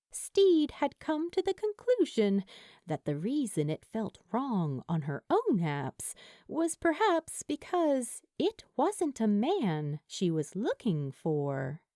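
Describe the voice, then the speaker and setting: A woman reading a story aloud as a narrator, in an expressive scripted audiobook style. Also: on the high side, dry recording, clean studio sound. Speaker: fan fiction narrator.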